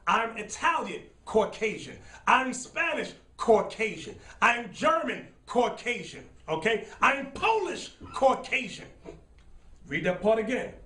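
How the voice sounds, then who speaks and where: A man preaching and teaching from scripture in a fiery style, shouting and repeating phrases as he directs a reader. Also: very high-pitched, big pitch swings, slow pace, distant mic. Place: studio set with dark backdrop. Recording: roomy sound from off-mic.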